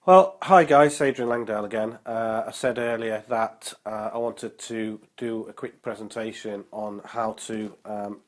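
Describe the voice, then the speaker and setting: A young man vlogging about fitness, his voice a little nasal from a cold and his throat sore, upbeat and motivational, with many ums and uhs, slowing down to spell out figures. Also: fairly low pitch. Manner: slow and careful when reading out numbers. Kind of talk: casual vlog talk and explainer.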